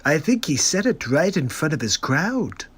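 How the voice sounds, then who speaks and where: A man's voice: on the high side, sing-song, normal speed, slightly rough. Sarcastic man mocking clips on a livestream. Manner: sarcastic, incredulous asides. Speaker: livestream comedy host. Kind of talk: mocking commentary between clips.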